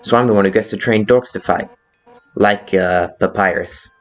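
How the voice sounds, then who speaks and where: A man's voice: fairly low pitch, moderate pace, highs cut off, natural voice. A man voicing a brash, hot-headed female monster guard captain with blunt, informal delivery in a video game fan dub. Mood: nostalgic, candid. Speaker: character voice actor in a fan dub. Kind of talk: dramatic video game dialogue. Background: background music.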